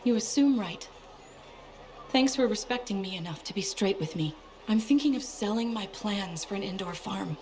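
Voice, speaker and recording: A woman's voice: medium pitch, animated, moderate pace, a little mellow, close to an everyday voice. An actress playing a careworn, practical woman farmer in a video game, guarded but kindly. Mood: weary, frank. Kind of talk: video game cutscene acting.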